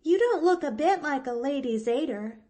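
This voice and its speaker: Woman doing a bright, gushing little orphan girl. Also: sits high, animated, moderate pace, voice pushed up. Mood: eager. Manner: breathless, gushing, chattery. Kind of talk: audiobook character voice.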